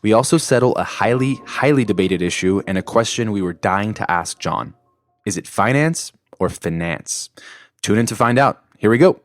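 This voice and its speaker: A man's voice: lowish voice, lively intonation, normal speed, dry recording, faint music behind. A man co-hosting a podcast, reading the intro and asking loose, rambling questions. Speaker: podcast co-host.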